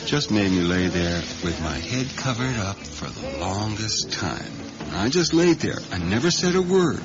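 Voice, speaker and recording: An actor in a 1980s radio drama playing an odd, haunted kitchen worker who tells his story in a halting, brooding way. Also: fairly low pitch, sing-song. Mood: haunted, insistent. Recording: dull 1980s radio drama recording, music.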